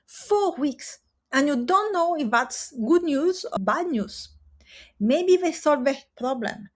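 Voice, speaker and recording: Woman, fairly high voice, earnest, emphatic, direct-to-camera coaching talk. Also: animated. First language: Italian and French. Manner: earnest, emphatic, with rhetorical pauses.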